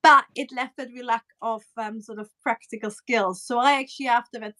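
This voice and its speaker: A woman's voice: fairly high pitch, steady tone, brisk, a bit bright. A woman from Germany with a German accent, a pattern cutting teacher chatting on a live stream, with frequent fillers and restarts. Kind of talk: casual live-stream chat.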